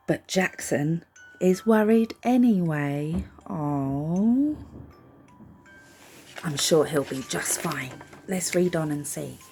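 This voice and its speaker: A woman reads a picture book aloud expressively, gently reassuring young listeners and putting questions to them. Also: lowish voice, slightly rough. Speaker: storybook reader for young children.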